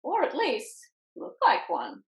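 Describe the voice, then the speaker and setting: Female reader as blunt storybook cat pals, high and animated, roomy video-call sound. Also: takes it slowly, dull audio, full character voice. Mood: matter-of-fact. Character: frank, advice-giving alley cat friends. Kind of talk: children's picture book dialogue.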